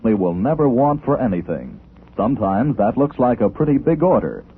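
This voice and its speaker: A man reading a scripted public service pitch as a 1940s radio announcer. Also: very animated, normal speed, slightly rough, phone-quality sound. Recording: thin, noisy 1940s radio recording.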